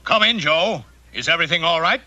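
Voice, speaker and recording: An actor voicing a confident male police detective hero in a 1960s TV cartoon, with a crisp, commanding delivery. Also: fairly high pitch, lively intonation, fairly quick, sharp tone, lo-fi sound, full character voice. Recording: faint music under dialogue.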